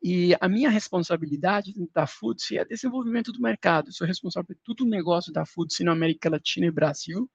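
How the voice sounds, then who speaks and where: A man's voice: high-pitched, slightly rough, no room sound, dull audio. A man from Colombia with a Colombian Spanish accent, a podcast guest explaining financial indexes in casual talk with everyday comparisons and some hesitant restarts.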